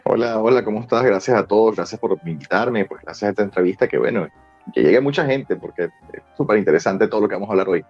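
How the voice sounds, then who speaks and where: A man's voice: fairly low pitch, expressive, quick pace, a little mellow, breathy edge, lo-fi sound, faint music behind. A Venezuelan man in his forties, talkative and colloquial, telling stories casually as an interview guest. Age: 40s. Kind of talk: casual conversational storytelling.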